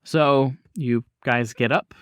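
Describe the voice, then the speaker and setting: A man runs a tabletop game with improvised description. His medium-pitched voice is casual and halting, and the recording is close and dry. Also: smooth, no echo. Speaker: game master.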